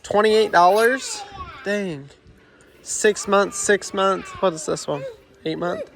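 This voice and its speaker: A man's voice: sits high, takes it slowly, creaky. A congested man chatting to his handheld camera as he narrates a family errand run through a farm supply store. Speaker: family vlogger. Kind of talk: casual vlog chat.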